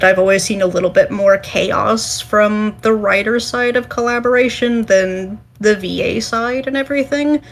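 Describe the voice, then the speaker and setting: A woman's voice: mid-range voice, creaky, a bit bright. A woman giving her take as a podcast panelist, informal and thinking aloud in casual chat.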